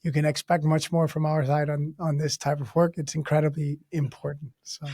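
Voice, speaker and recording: Raspy, hoarse male podcast host. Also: on the high side, little pitch movement, fairly quick, dark tone, no room sound, clean studio sound. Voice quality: raspy, broken voice. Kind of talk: conversational podcast hosting.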